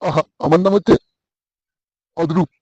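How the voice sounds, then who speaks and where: A man's voice: fairly high pitch, fairly even intonation, normal speed, darkish tone, slightly rough, no echo, muffled recording. A man voicing a genial, obliging boss in a comic cartoon, oily and repetitive. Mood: amused, pleasant.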